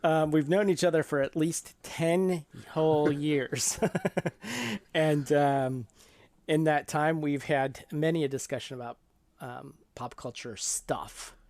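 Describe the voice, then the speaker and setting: A middle-aged man hosting a podcast in a casual, halting way, thinking aloud as he talks. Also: on the high side, vocal fry, darkish tone, dry recording. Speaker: podcast host.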